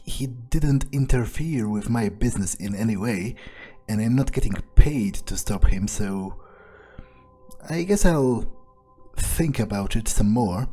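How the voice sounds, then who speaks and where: Mellow-voiced man musing over a game. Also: mid-range voice, slightly rough. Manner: hesitant, musing, understated. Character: calculating, detached vampire mercenary for hire. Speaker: player voicing their character in a let's play. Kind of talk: in-character gameplay commentary, thinking aloud.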